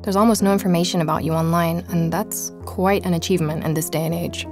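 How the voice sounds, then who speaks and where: An actress playing a calm, determined woman journalist. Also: on the low side, music in the background, natural voice. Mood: measured. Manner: measured, inquisitive. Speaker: journalist and podcast narrator. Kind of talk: scripted podcast narration in audio drama.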